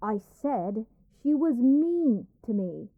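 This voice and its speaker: A woman's voice: on the high side, very animated, slow, dry recording, phone-quality sound, full character voice. A woman playing an arrogant young princess in a dramatic audio roleplay, haughty, petulant, theatrical and given to complaint. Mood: sulky.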